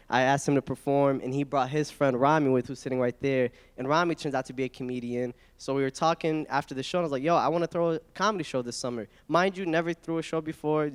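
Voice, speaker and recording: A man's voice: mid-range voice, clean tone. A young man from Iraq telling casual, slangy stories as a comedian guest, speaking into a handheld microphone on an indoor stage. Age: young adult. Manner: informal, slangy, animated storytelling. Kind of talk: casual spoken anecdotes before an audience. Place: indoor event hall stage, small live audience. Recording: echoey hall through handheld microphone.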